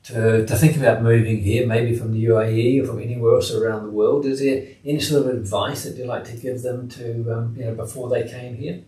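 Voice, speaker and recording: An older man hosts a relaxed podcast chat, looping through long questions and offering a steady stream of yeses. His voice sits at a middle pitch for a man. He sounds a little distant from the microphone, and the room's echo hangs around his words. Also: a little fast, few pauses. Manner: gentle, encouraging backchannels and rambling questions. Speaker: podcast host. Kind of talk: casual interview chat. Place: living room, indoors, medium size. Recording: echoey room, from a distance.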